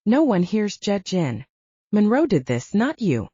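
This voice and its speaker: A woman's voice: medium pitch, sing-song, measured pace, warm tone, slightly rough, dry recording, dull audio. A woman voicing a young, earnest, protective male soldier in an audiobook, talking in a blunt, casual, urgent way. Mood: reassuring.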